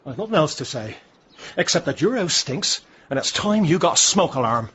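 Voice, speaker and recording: A man's voice: fairly high pitch, sing-song, moderate pace. An actor playing an officious, exasperated policeman in a radio drama, sarcastic and clipped. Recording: dull, hissy radio drama recording.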